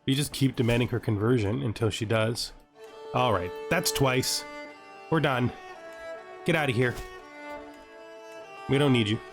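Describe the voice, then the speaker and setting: A man hosts a gaming series in a casual, chatty way, thinking aloud as he plays. His voice sits at an average male pitch. Instrumental music with strings plays faintly behind him. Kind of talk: casual gameplay commentary.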